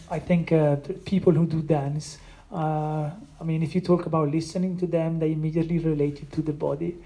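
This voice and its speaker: Hesitant, dark-voiced non-native English speaker. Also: medium pitch. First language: not English. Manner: hesitant, many restarts and fillers. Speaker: sound artist. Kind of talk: explaining own work in a conversation.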